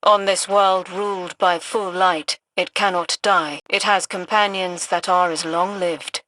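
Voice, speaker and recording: A woman voicing a cryptic emissary of cosmic powers in a video game, speaking in a measured, formal, oracular way. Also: lowish voice, steady tone, pushed and bright, no room sound, low-quality recording. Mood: ominous, detached. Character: cryptic otherworldly female emissary of cosmic powers, coolly imperious. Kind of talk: dramatic video game dialogue.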